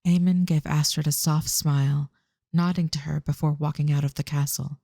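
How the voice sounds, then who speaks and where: A male narrator reads scripted fantasy prose. His voice is dark and mellow with a creaky, gravelly edge, and the recording is dry and free of room sound. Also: on the high side, little pitch movement, moderate pace, no echo.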